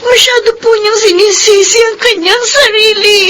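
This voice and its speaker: Radio drama daughter, young Filipina, sweet and polite, very high-pitched, light music underneath. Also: female voice, steady tone, moderate pace, a bit bright, low-quality recording. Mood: worried, plaintive. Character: sweet, caring young daughter and little sister. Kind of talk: Tagalog radio drama acting.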